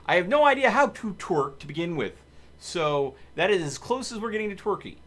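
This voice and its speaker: A man's voice: sits high, very animated, brisk, fairly bright tone. A man hosting a live game stream, chatting casually and commenting on his play, with game music behind him. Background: video game music.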